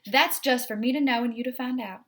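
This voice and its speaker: A woman voicing a vain, fussy, excitable female pet cat in a prim, dramatic way for a children's storybook. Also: on the high side, fairly quick, voice pushed up, close to an everyday voice. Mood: coy. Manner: prim, self-admiring, dramatic.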